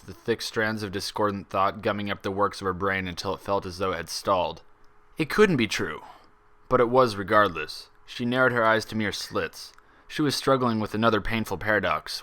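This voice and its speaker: A man reading a story aloud as an expressive scripted narration. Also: fairly low pitch. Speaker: fan fiction reader.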